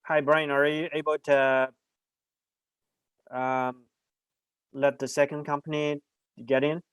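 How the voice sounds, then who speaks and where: A male moderator hands the floor to speakers over a video call. His voice is smooth and fairly high, kept level with little rise and fall. The sound is close and dry. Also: flat delivery, slow, fairly bright tone, no echo. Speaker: event moderator. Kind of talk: hosting an online pitch event.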